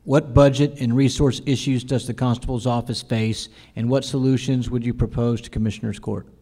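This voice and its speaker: A man's voice: mid-range voice, fairly even intonation, brisk, warm tone. A man moderating a forum, reading questions to candidates in a formal, courteous manner.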